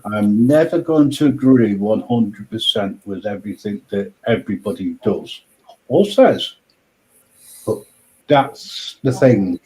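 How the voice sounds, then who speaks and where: A man's voice: fairly low pitch, lively intonation, unhurried, warm tone, poor audio. A man hosting a casual live stream panel, talking in a rambling, stop-start way and chuckling often.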